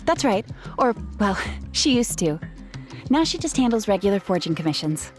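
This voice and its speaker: A woman's voice: medium pitch, very animated, moderate pace, faint music behind, close to an everyday voice. A woman playing a grateful, earnest young gem artisan who talks readily, in a video game. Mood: earnest storytelling.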